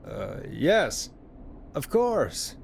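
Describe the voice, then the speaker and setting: Lively, reassuring radio DJ. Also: male voice, medium pitch, extremely slow, gravelly, slight background noise, exaggerated voice. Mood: gentle, probing. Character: smooth-talking, gentle male radio DJ. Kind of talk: video game voice acting.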